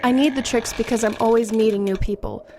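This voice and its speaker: A woman's voice: on the high side, fairly even intonation, fast talker, background noise, natural voice. A woman playing a sardonic goth teenage girl in an audio drama, dry and conversational. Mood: wry, detached. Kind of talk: audio drama narration and dialogue.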